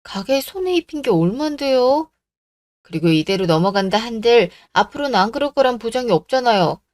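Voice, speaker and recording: Radio drama: woman plays plucky young daughter, assertive, sarcastic edge, higher voice, dry sound. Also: lively intonation, brisk, darkish tone, no echo, natural voice. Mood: resolute. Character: bold, defiant young bride-to-be, plucky daughter. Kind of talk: radio drama story acting.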